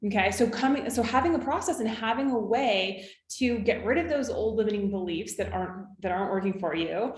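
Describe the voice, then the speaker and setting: A woman's voice: medium pitch, a little fast, few pauses. Woman coach in an echoey room. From California. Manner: encouraging, checks in with frequent "okay?" and "right?". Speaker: business coach. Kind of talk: coaching talk to camera.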